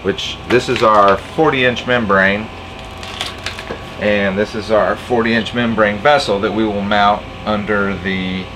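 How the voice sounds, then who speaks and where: A man in his forties with a lowish voice explains, step by step, a project he built himself. He talks casually, with plenty of fillers, in a cluttered home workshop. A camera mic a few feet away catches him over a clear background hum. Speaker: boat owner and DIY tinkerer.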